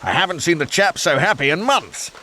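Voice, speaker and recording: An actor playing a genial upper-class British wing commander in a video game, with a plummy British accent and jovial, courteous military slang. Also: male voice, on the high side, animated, brisk, pushed and bright, heavily acted. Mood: cheerful, conspiratorial. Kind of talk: video game cutscene acting.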